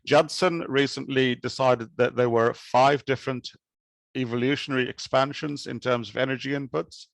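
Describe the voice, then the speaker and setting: A man from Kenya giving an academic talk with slides, speaking in a measured, thoughtful way with occasional restarts. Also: medium pitch, steady tone, creaky, no echo. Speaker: conference speaker.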